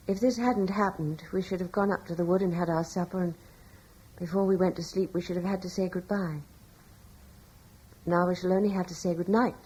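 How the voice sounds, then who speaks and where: An actress playing a devout, gentle young wife in a radio drama, speaking in an earnest, tender and slightly hesitant way. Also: female voice, on the low side, slightly rough, poor audio. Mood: tender, hopeful. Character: devout, gentle young wife in ancient times, calmly reassuring.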